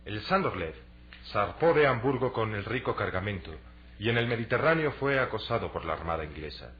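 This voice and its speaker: A muffled, lo-fi radio drama recording of a young adventurer played by a man with a fairly low voice. He speaks Latin American Spanish in an earnest, persuasive way, laying out his case deliberately. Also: expressive, a little hiss or hum, close to an everyday voice. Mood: explanatory. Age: young adult. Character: earnest young athletic adventurer selling a treasure hunt.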